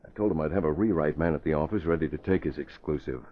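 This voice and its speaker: Muffled old radio serial, a really deep composed hero type, brisk and bossy, masked vigilante. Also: male voice. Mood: calm, commanding. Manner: brisk, decisive, gives orders. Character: composed, commanding newspaperman leading a double life as masked vigilante. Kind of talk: 1940s radio drama acting. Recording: muffled vintage radio recording.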